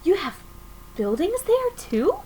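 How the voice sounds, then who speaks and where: A woman's voice: very high-pitched, big pitch swings, takes it slowly, warm tone, slight background noise, voice pushed up, full character voice. A woman voicing a big, kindly storybook elephant who speaks sincerely and pleads protectively. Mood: gentle, amazed.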